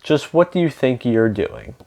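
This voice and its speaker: An exasperated storybook mama cat, acted out by a man, bossy and emphatic. She scolds at an unhurried pace. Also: medium pitch, expressive, creaky, dark tone. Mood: scolding.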